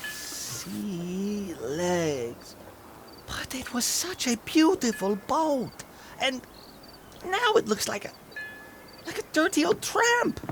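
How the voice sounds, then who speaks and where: A man playing a timid, excitable sidekick in a radio drama, whining, stammering and repeating words nervously. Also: very high-pitched, takes it slowly, pauses often, noisy background, exaggerated voice. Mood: dismayed. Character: timid, excitable, pleading male sidekick named Oscar. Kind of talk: 1950s radio drama acting.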